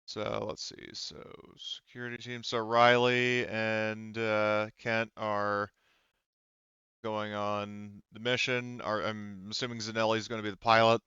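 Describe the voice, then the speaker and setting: A man's voice: on the low side, level tone, measured pace, bright, forceful tone, clear, resonant tone, no echo, dull audio. A man acting as game master in a casual tabletop roleplaying session, explaining and thinking aloud.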